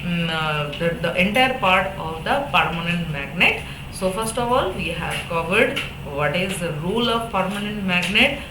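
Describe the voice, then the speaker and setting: An echoey, noisy room recording of a woman with an Indian accent. She lectures on a technical subject in a mid-pitched voice. Her explanatory delivery is halting and repetitive, often punctuated by short check-in tags. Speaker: electronics lecturer. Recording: echoey room with background noise.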